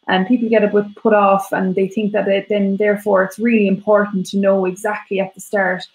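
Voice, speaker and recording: A woman with an Irish accent, a language teacher, explaining things in a relaxed, reassuring way. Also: mid-range voice, brisk, roomy sound, low-quality recording. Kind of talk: casual explanatory chat.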